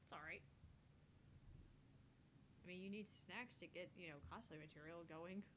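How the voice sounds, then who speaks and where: A woman's voice: lowish voice, moderate pace, fairly bright tone, slight background noise. A woman co-hosting a game playthrough in casual, joking chat. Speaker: co-host of visual novel playthrough.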